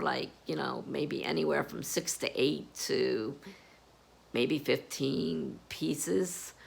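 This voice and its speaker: A woman with a froggy, hoarse voice chatting casually about her writing plans, rambling with plenty of fillers. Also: low-pitched, a bit bright. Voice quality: gravelly. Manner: slow, rambling, with many fillers. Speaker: writer vlogging about novel prep. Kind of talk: casual chat to camera. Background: air conditioner humming.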